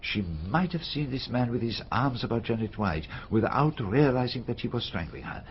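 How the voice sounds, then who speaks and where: A man's voice: mid-range voice, animated, normal speed, natural voice. A man playing a methodical, courteous foreign detective with a French accent and precise speech in a radio drama. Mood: thoughtful, intrigued. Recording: slightly dull, noisy radio drama audio.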